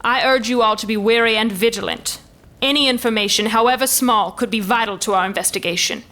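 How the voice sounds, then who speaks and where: Stately, high-voiced elven lady commander. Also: a little fast, pushed and bright. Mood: formal, commanding. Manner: formal, stately, theatrical oratory. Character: noble elven lady commander, grave, calculating orator. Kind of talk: fantasy audio drama acting.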